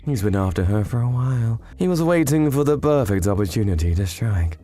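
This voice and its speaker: A man's voice: mid-range voice, animated, brisk, dark tone, faint music behind, lightly acted. A man playing a casual, matter-of-fact underworld informant explaining what he knows in a manga drama.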